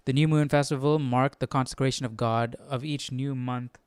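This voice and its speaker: Clear-voiced man casually thinking aloud. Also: medium pitch, steady tone, moderate pace, a little mellow, no room sound. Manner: tentative, self-questioning, often tags sentences with "right?". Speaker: host of a Bible study livestream.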